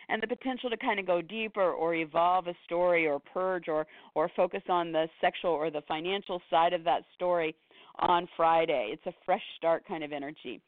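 Hoarse woman, astrologer host, quick listing with ums and small laughs, tinny phone-like sound, dry. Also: lowish voice, no room sound. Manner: rapid listing, with fillers and short laughs. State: hoarse, losing her voice. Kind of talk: weekly horoscope talk.

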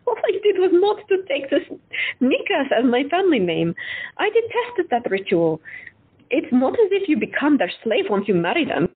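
A woman playing a frank, unconventional woman doctor who talks bluntly and candidly with a slightly husky voice. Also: high-pitched, big pitch swings, moderate pace. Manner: blunt, candid, lightly teasing. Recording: tinny phone-like audio.

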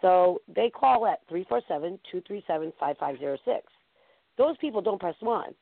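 A woman with a deep, raspy voice, hoarse and gravelly, hosts a live internet talk show. She chats in an excitable, rambling way and keeps talking to her listeners. The sound is dry and tinny, like a phone line. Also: moderate pace, no echo. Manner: rambling, excitable, talks to the chat room. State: hoarse from a concert. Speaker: podcast host. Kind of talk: casual live internet radio chat.

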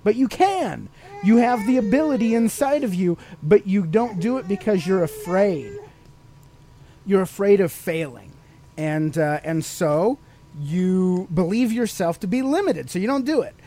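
A man's voice: high voice, lively intonation, a little slow, warm tone. A man hosting a podcast, teaching motivational ideas in a conversational, explanatory way and putting questions to the listener. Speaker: podcast host.

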